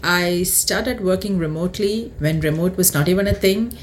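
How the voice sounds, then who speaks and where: Guest, woman from India, slightly low voice, faint background noise, relaxed and chatty. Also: moderate pace. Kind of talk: casual testimony.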